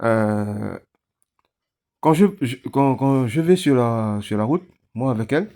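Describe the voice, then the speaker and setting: Homme, voix de hauteur moyenne, parole hésitante, hachée, discussion décontractée à la maison. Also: male voice, medium pitch, warm tone. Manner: hesitant, halting, many fillers. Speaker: vlogger. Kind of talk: casual chat, telling a story.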